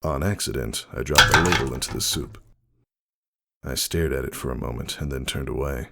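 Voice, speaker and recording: A man giving a dramatic first-person story reading in a measured, wistful way. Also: extremely low pitch, gravelly, heavy background noise. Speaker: audiobook narrator. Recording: music behind the reading.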